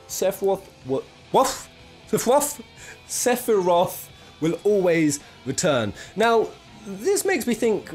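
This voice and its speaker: Animated British man, chatty countdown host. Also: high-pitched, slow, faint music behind. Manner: jokey, rambling, thinking aloud. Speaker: video game countdown host. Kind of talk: casual, chatty top-ten commentary.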